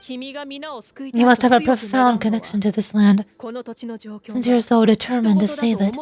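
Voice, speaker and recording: An actress playing a noble, resolute female leader in a video game, speaking in an earnest, stately and formal way. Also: high-pitched.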